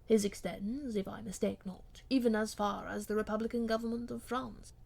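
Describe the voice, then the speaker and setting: A proud, gallant gentleman from a classic romance audiobook, voiced by a woman at her ordinary pitch. He speaks in a measured, formal way, coolly restrained yet gentle toward his lady. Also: steady tone, vocal fry. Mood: cool courtesy.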